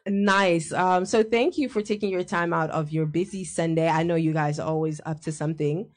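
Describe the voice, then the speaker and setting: A woman hosting a casual radio interview show from a studio, bubbly and quick to laugh. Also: lowish voice, fairly even intonation.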